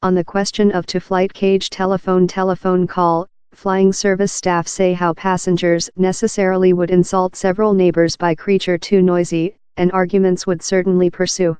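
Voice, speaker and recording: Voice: medium pitch, little pitch movement, normal speed, hardly any pauses, darkish tone, smooth, dry recording. A narrator reading a scripted article aloud in an impersonal, uninflected way.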